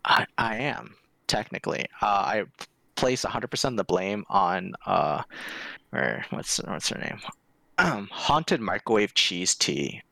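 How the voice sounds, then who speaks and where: Young american man, low gravelly voice, laid-back guest, dry close mic. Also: expressive, a little slow, bright, forceful tone, dry recording. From United States. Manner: laid-back, candid, uses "like" a lot. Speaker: podcast guest. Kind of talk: casual interview chat.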